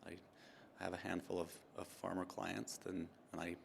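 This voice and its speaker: A man in his fifties from Utah speaks in a very low, gravelly voice. He answers questions as a guest, unhurried, full of ums and restarts, with a dry, self-mocking wit. A faint noise sits under the recording. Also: level tone. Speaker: tax partner and guest. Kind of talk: relaxed interview answers.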